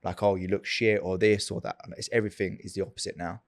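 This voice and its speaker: Low-voiced young man with London accent. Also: level tone, quick pace, no room sound, studio quality. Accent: Essex/London English. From England. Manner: earnest, repeats words for emphasis. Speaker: guest. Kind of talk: casual chat.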